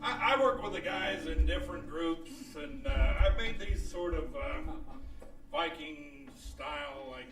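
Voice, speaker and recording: A man plays a boastful weekend warrior in a radio comedy. He talks slowly in a high voice. The recording is echoey and a little distant. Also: loud music behind the voice, close to an everyday voice. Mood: folksy, halting. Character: dogged, boastful weekend knight and armor hobbyist. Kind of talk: live comedic radio-play acting. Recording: echoey stage, distant mic.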